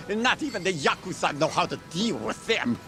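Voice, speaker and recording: An actor playing an excitable, polite foreign male restaurant boss in a video game, earnest and fretful. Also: a little high, a little slow, creaky, over music, exaggerated voice. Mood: apologetic, worried. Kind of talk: video game cutscene acting.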